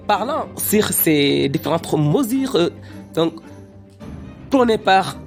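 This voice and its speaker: A performer in an educational cartoon plays a didactic history professor who lectures deliberately and is easily exasperated. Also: mid-range voice, darkish tone, natural voice.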